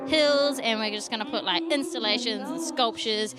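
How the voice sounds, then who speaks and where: A woman's voice: fairly high pitch, moderate pace, over loud music. Chatty young New woman. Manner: chatty, rambling, joking, swears casually. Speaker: festival arts curator. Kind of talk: casual banter on a live stream.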